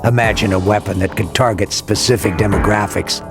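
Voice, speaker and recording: An actor playing a cold, authoritative intelligence director, speaking in a measured, formal way in a video game cutscene. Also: male voice, fairly low pitch, fairly even intonation, natural voice. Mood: grave, measured. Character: cold, authoritative male intelligence agency director giving briefings. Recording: game audio with music underneath.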